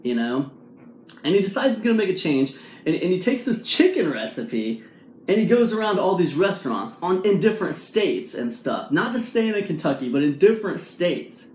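A man in his forties telling a motivational story to camera in a casual, animated way, full of fillers and rhetorical questions, filmed with a phone at arm's length in a small room at home. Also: high-pitched, moderate pace, slight background noise. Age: 40s. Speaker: vlog host. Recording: echoey room sound.

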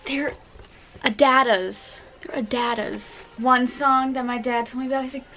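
A woman's voice: sits high, steady tone, moderate pace, sharp tone. A nineteen-year-old woman with an American accent, chatting casually to her vlog camera. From United States, Michigan and New Hampshire. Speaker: vlogger. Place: bedroom, car and outdoors.

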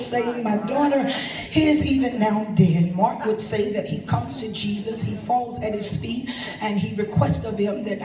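A woman preaching a fervent sermon from the pulpit of a large church, building into shouted, rhythmic repetition. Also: mid-range voice. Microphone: handheld mic at pulpit, filmed from the pews. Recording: echoey sanctuary recorded from far back.

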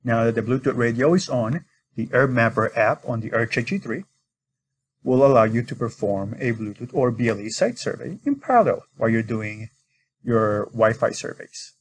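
A man's voice: medium pitch, lively intonation, normal speed, slight background noise, poor audio. A man narrating an instructional screen recording as a product demonstrator, explaining step by step in an informal way.

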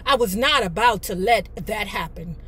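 A woman's voice: mid-range voice, animated, moderate pace, pushed and bright, slightly rough. An American woman in her fifties hosting a video, reading aloud and commenting in a blunt, sassy, casual way. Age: 50s. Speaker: video host. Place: inside a parked car.

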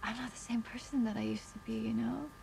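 An actress playing a gentle, apologetic young woman who leads a colony, in a naturalistic film style. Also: fairly high pitch, fairly even intonation, brisk, gravelly, rough recording. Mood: gentle, regretful. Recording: film audio with noise.

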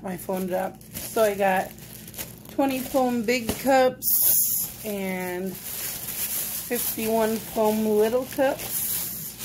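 A young adult woman chatting casually as a vlogger, recorded on a phone in a home kitchen. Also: medium pitch. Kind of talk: casual grocery haul vlog chat. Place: small indoor home kitchen. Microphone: phone close at arm's length. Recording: noticeable noise on phone recording.

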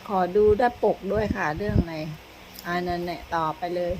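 A mother at home, gently coaching and correcting her child as the child reads storybook titles aloud. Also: on the low side, a little fast, noisy background. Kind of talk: casual home chat.

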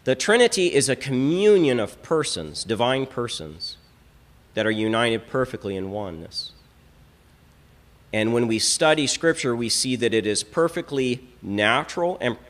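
A man's voice: medium pitch, a bit bright. A middle-aged Orthodox priest giving a teaching talk at a lectern in a small church hall, emphatic and given to repeating words as he builds a point. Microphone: stand mic on lectern, filmed from a fixed camera.

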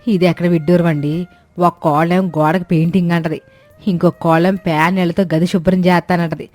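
Woman playing a fretful village mother-in-law, lowish voice. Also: level tone, fairly quick, a little mellow, no echo, faint music behind, natural voice. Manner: rustic colloquial speech. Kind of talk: animated moral-story voice acting.

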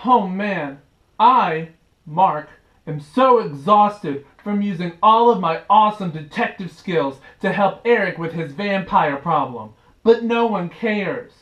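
An earnest, worn-out detective in a homemade puppet show, acted by a young man. The male voice is high and melodramatic, with room echo around it. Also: animated, slow, fairly bright tone, muffled recording, exaggerated voice. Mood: weary, aggrieved. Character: exhausted self-proclaimed detective puppet, earnest, aggrieved man. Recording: roomy, echoey bedroom sound.

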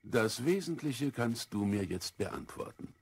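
An actor playing a wise, patient old philosopher in a children's radio play, with a husky, gravelly voice and a measured, thoughtful manner. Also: male voice, mid-range voice, animated. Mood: thoughtful, kindly. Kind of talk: 1970s German children's radio play acting.

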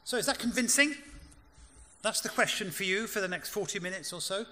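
Male panel moderator, bright tense voice, brisk and wry, lectern mic in a reverberant hall. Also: high voice. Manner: brisk, dryly wry, directive. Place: large conference hall stage. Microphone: lectern microphone. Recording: hall reverb, faint room hum.

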